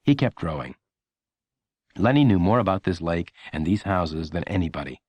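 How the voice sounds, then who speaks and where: A man narrating a children's audiobook in an expressive, measured storytelling manner. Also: sits low, no echo.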